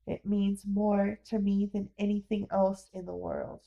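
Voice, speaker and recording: A woman's voice: medium pitch, flat delivery, unhurried, vocal fry, muffled recording. A woman voicing an embittered middle-aged man, a one-legged war veteran, who speaks in a weary, downhearted and plain way. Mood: sorrowful.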